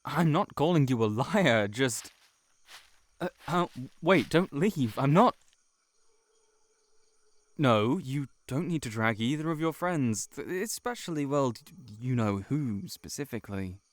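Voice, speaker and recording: A male actor voices an earnest, lovelorn monster in human guise for an intimate audio roleplay, recorded very close and dry. His mid-pitched voice moves slowly and haltingly. He pleads with the listener as someone desperate to be believed. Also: expressive, no room sound, lightly acted. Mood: nervous, flustered. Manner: hesitant, halting, emotionally pleading.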